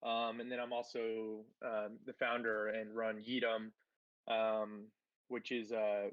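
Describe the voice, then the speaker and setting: A man's voice: fairly low pitch, fairly bright tone, dry recording, highs cut off. A man raised in Texas and born in Spain, a guest talking casually on a livestream, rambling with many fillers and restarts. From born in Spain, grew up in Texas. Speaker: guest, tech entrepreneur.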